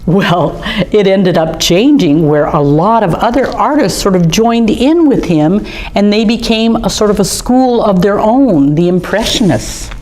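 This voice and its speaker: A middle-aged woman reading and chatting through a children's storytime at an art museum, gently enthusiastic as she shows pictures. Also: medium pitch, slight background noise.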